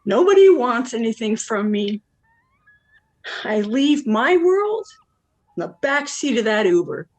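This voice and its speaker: A woman's voice: on the high side, heavily acted, rough recording. An actress playing a weary, worldly wife and mother in a stage play, wistful yet blunt. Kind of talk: dramatic monologue in a stage play.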